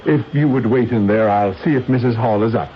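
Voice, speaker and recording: An actor playing a formal, polite and slightly flustered butler in a radio drama. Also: male voice, mid-range voice, brisk, lightly acted. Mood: deferential. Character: deferential, flustered male household butler. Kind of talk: 1970s radio drama acting. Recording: dull, noisy old radio recording.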